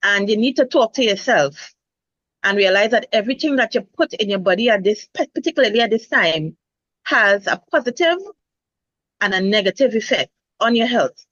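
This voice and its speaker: A woman's voice: mid-range voice, lively intonation, normal speed, a bit bright, dry recording, lo-fi sound. A woman nurse speaking earnestly in a casual health talk, restarting words as she thinks. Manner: earnest, repeats herself while finding words. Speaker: nurse, guest.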